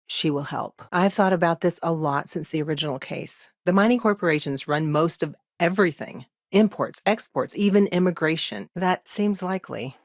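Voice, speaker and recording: An actress playing a busy, dry-witted woman lawyer in a video game, dogged but friendly, with a wry, conversational and measured delivery. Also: on the low side, dry recording. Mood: focused, earnest. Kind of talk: game dialogue lines, naturalistic acting.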